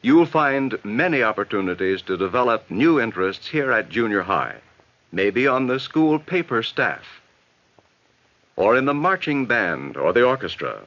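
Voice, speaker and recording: An American man reading scripted, instructive narration in a measured, advising way for a 1960s educational film. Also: mid-range voice, big pitch swings, fairly bright tone. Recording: dull vintage 1960s film soundtrack.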